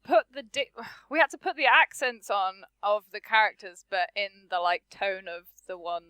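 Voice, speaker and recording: A woman's voice: mid-range voice, lively intonation, normal speed, sharp tone, clear, resonant tone, no echo. A woman, a voice actress guest, chatting casually on a podcast, hesitant and giggly with self-deprecating remarks.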